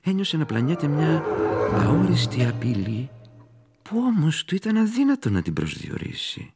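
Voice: medium pitch, big pitch swings. An actor narrating a gothic horror radio play as an uneasy, ominous storyteller, with music playing behind. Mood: brooding.

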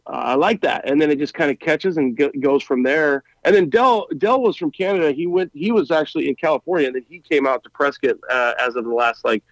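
A man talking casually and at length on a podcast, with a somewhat high voice. He rambles eagerly and stumbles over his starts, often repeating words. The recording is close and dry. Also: no echo, rough recording. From Montana. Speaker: guest, firefighter and truck podcaster.

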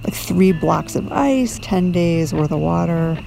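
A woman's voice: lowish voice, expressive, measured pace, gravelly, rough recording. A middle-aged woman speaking off the cuff in an audio diary, grumbling like a rant. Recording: field audio diary with music.

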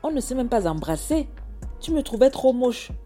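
A woman voicing an angry young woman who shouts her indignant accusations. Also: on the high side, big pitch swings, moderate pace, soft background music, pitched above the performer's own voice. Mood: accusing.

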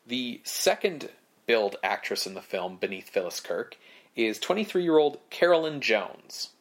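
A man co-hosting a casual film history podcast, reading prepared facts in a relaxed way. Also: mid-range voice, unhurried. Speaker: podcast co-host.

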